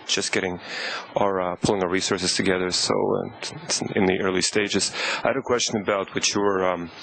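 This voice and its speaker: A man from the audience, slowly and tentatively asking a question to a panel. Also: sits low, little pitch movement, gravelly, fairly bright tone, a little hiss or hum, rough recording. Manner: slow, careful, tentative. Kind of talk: question from the floor.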